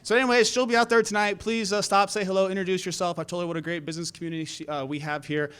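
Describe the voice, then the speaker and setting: A man giving informal, somewhat halting announcements to a gathering as a speaker at an event. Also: high-pitched, steady tone, fairly bright tone, smooth. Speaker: event sponsor speaker, banker.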